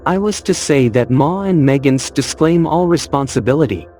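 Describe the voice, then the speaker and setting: A man's voice: on the high side, animated, moderate pace, warm tone, soft background music. A man playing an uneasy, formal company messenger in a dramatized audio story, speaking hesitantly. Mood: formal, apologetic. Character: ill-at-ease, formal company messenger bearing bad news.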